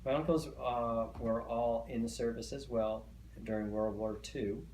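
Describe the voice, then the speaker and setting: A man's voice: medium pitch, fairly even intonation, unhurried, a little mellow. A middle-aged man whose first language is Hungarian, recalling family history in an oral history interview, with many hesitant fillers and restarts. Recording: echoey room, faint noise.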